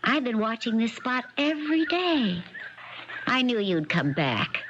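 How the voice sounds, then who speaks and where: An actress playing a cold, sardonic wronged wife with a mocking, deliberate delivery in a dull, noisy 1970s radio drama. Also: female voice, on the high side, very animated. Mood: cold triumph.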